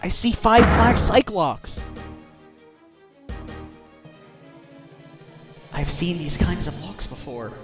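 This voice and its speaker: An earnest, exclamatory defense attorney, as a man voices him, pitched a little high. Faint music sits under the fan dub. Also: big pitch swings, measured pace. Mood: dramatic.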